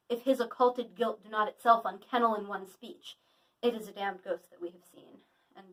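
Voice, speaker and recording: A woman's voice: mid-range voice, a bit bright, recorded from a distance, natural voice, low-quality recording. A woman playing an earnest, brooding young prince in a declamatory Shakespearean monologue, confiding in a friend.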